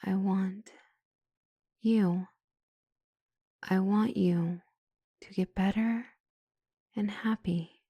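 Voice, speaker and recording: A woman playing a flustered, smitten doctor and couples counselor in an ASMR roleplay drama, hesitant and breathy. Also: mid-range voice, steady tone, very slow, pauses often, no echo, dull audio, full character voice. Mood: entranced, yearning.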